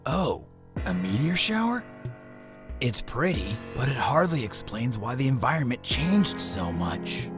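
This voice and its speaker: A man's voice: mid-range voice, big pitch swings, measured pace, over music. An actor playing a curious, earnest young man of mixed blood in a fantasy audio drama. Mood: puzzled.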